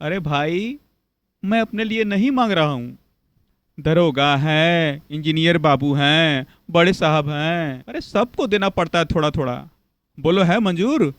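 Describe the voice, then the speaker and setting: A man's voice: fairly high pitch, unhurried, a bit bright, no room sound. A man playing a bossy, corrupt, self-important local politician husband in a cartoon. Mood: sly. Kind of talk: Hindi cartoon voice acting.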